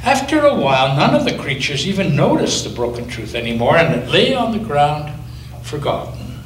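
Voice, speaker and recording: A gentle pastor reading aloud in an echoey church. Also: male voice, on the high side. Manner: gentle, lively storytelling with slow dramatic pauses. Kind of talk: reading a picture book aloud to children. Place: church sanctuary. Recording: echoey church from a distance, faint noise.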